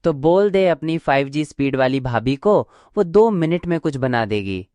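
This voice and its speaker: A woman's voice: low-pitched, lively intonation, normal speed, mellow, no echo. A woman voicing a bossy, demanding middle-aged mother-in-law in a cartoon story. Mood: teasing. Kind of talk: cartoon voice acting.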